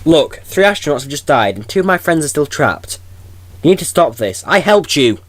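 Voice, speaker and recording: A man's voice: a little high, very animated, normal speed, fairly bright tone, no room sound. A man playing a flippant, eccentric time-travelling hero in an audio drama, glib and insistent. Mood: urgent, pleading.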